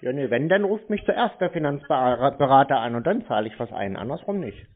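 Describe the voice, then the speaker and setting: A man's voice: mid-range voice, sing-song, fairly quick. A man in a casual phone conversation, talking in a blunt, skeptical way. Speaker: scam-baiting call recipient. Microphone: phone call. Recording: tinny phone-like sound.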